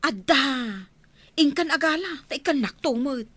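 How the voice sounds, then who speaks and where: A woman's voice: high voice, lively intonation, a little slow, fairly bright tone. A woman with a Filipino accent acting a blunt, teasing, bossy sister-in-law in a radio drama.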